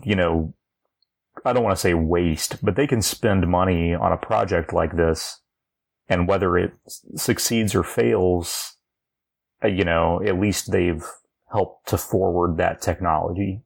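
Male podcast co-host. Deep, fry-laden voice, conversational, opinionated and explanatory. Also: unhurried. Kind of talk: casual chat about video game news.

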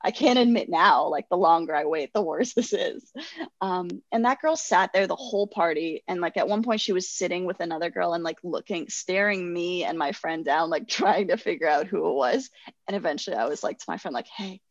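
A woman in her twenties telling a personal story in casual chat, animated and self-deprecating, laughing often. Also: on the low side, fairly quick, no room sound, highs cut off. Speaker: guest sharing personal stories.

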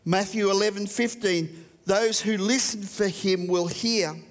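A man's voice: high voice, unhurried. Australian man preaching in a reverberant hall. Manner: conversational preaching, reading scripture aloud. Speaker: pastor. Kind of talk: sermon.

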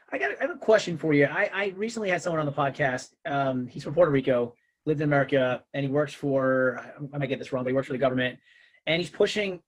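Quick-talking male podcast host. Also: a little high. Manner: easygoing, hesitant, lots of fillers. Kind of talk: casual interview.

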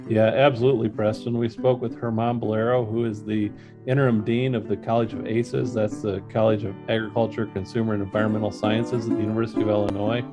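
A man's voice: fairly low pitch, little pitch movement, fast talker, music in the background. A man co-hosting an agriculture podcast, speaking in a friendly, conversational way. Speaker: podcast co-host. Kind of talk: casual podcast chat.

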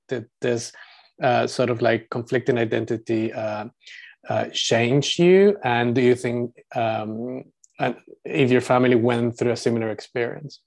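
A man's voice: mid-range voice, a little mellow. A man hosting a podcast, asking interview questions hesitantly, with many fillers. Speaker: podcast host.

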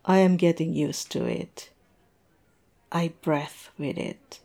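A woman's voice: on the low side, fairly even intonation, slow pace, gravelly, warm tone, heavily acted. A woman acting in a roleplay audio drama as a tipsy, lovestruck young woman, self-mocking and playfully theatrical. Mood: bitter resignation.

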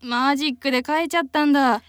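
A woman's voice: sits high, steady tone, fast talker, no room sound, clean studio sound, close to an everyday voice. A woman playing an easygoing, dreamy young aspiring cartoonist who sighs and muses to herself in a radio drama. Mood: nostalgic. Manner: sighing, musing to herself.

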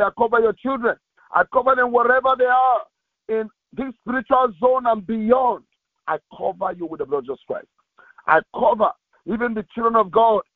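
High-pitched male pastor praying, phone-like sound. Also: no echo. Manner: insistent, repetitive, phrase-by-phrase delivery. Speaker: pastor leading prayer. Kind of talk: fervent Pentecostal prayer sermon with exhortation. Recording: thin, phone-like radio sound.

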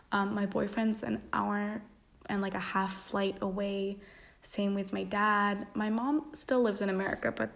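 A young woman in her twenties from Denmark, talking casually to the camera. Her voice has a gravelly, fry-laced texture at a middle pitch, loose and chatty with plenty of fillers and small laughs. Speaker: vlogger. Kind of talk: casual reflective chat.

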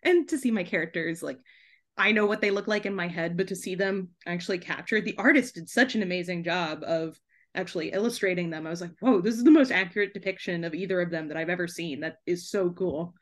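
A woman's voice: lowish voice, fast talker. A young woman chatting casually and effusively as a podcast guest, giggly and sprinkling her talk with "like". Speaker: podcast guest, debut author.

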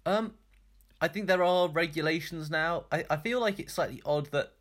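A British man co-hosting a casual news chat, talking in a hesitant, halting way and hedging as he goes. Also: on the high side, fairly bright tone. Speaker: podcast co-host.

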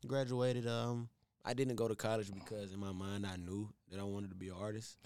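A young man from Atlanta with a slightly raspy voice, chatting casually as a guest about his music in a laid-back, drawling way. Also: lowish voice, flat delivery, fairly quick, a little mellow, no room sound, studio quality. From Atlanta, USA. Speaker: R&B singer guest. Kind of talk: casual interview chat.

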